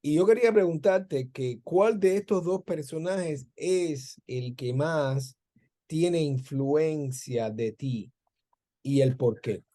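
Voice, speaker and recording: A man's voice: a little high, slow pace, mellow. A man hosting a conversational interview, phrasing his questions in a hesitant, circling way. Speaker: interview host, film director.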